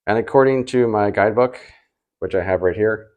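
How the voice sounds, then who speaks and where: A man hosting a travel vlog, chatting casually in a halting, rambling, unscripted way. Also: fairly low pitch, darkish tone, highs cut off. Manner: hesitant, halting, rambling delivery with trailing repeats.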